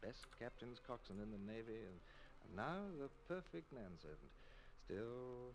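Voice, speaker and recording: An actor playing a stammering, proud but bashful middle-aged naval captain in an old-time radio drama, with hesitant delivery. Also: male voice, on the low side, big pitch swings, unhurried. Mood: reflective narration. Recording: noisy old radio recording.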